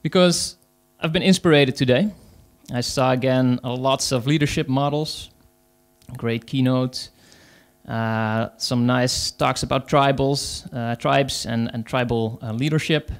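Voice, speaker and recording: Dutch-accented male conference speaker, rough-edged voice, halting delivery. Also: medium pitch. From Netherlands. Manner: hesitant, many fillers, pauses. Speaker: conference speaker, agile coach. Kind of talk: conference talk.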